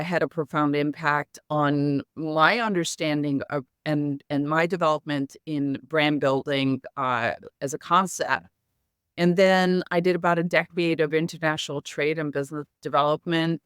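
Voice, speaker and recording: Smooth, articulate American-accented woman, native Hungarian. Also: low voice, dry recording, clean studio sound. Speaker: interview guest, marketing executive. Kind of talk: conversational interview storytelling.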